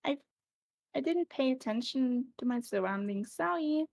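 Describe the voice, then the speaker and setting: A woman guest on a live stream, speaking hesitantly with many fillers and pauses, her voice creaky. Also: sits high, lively intonation, a little mellow, dry recording. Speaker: guest voice actor. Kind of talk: casual live-stream chat.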